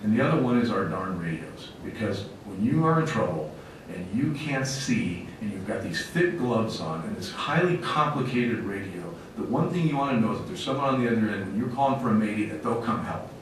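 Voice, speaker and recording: A man with a fairly low voice gives a public presentation as a fire officer. His delivery is emphatic and plainspoken, with a folksy turn. He is recorded at a distance in a very echoey meeting room. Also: lively intonation, fairly quick. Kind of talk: public presentation to a council committee. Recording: very echoey room, distant mic, faint rumbling noise.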